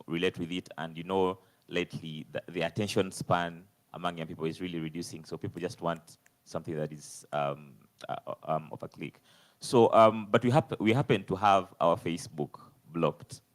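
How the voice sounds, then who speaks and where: A young man from Uganda with a very deep, low voice. He asks his question from the audience hesitantly, pausing on fillers and restarting his sentences. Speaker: audience member asking a question. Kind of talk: conference floor question.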